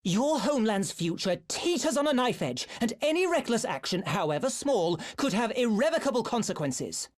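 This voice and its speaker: A woman's voice: on the high side, sing-song. An actress playing a grave, commanding female mentor in a video game, speaking formally. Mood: grave, urging. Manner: formal, admonishing, deliberate phrasing. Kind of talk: video game cutscene acting.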